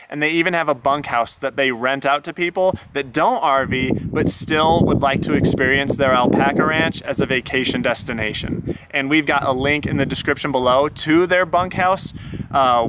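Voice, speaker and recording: A man's voice: mid-range voice, heavy background noise. A man narrating a casual travel vlog in a friendly, informative way. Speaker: RV travel vlogger.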